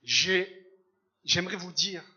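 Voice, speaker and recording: A man from Algeria preaching a church sermon in short phrases, pausing for an interpreter. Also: sits high, dull audio, a crowd in the background. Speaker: guest preacher. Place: church service.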